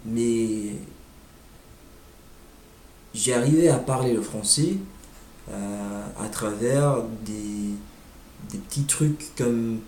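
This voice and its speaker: A man with an American accent, a native English speaker, slowly talking through his thoughts and searching for words with frequent fillers. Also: medium pitch, darkish tone, reverberant, a little hiss or hum. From United States. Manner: hesitant, searching for words with many "euh" fillers. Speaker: language learner sharing his experience. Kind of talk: casual monologue.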